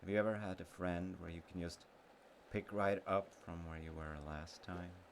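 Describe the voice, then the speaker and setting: A man playing a sweet, slightly slow, sentimental childhood friend in an intimate audio roleplay, speaking gently and haltingly, with trailing pauses. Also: deep voice, fairly even intonation, a little hiss or hum. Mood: wistful, tender.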